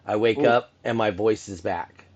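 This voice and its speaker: A man's voice: fairly low pitch, steady tone, moderate pace, highs cut off. A middle-aged man hosting a casual podcast conversation, rambling with many restarts and asides. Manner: rambling, full of restarts and "you know". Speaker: podcast host and event announcer.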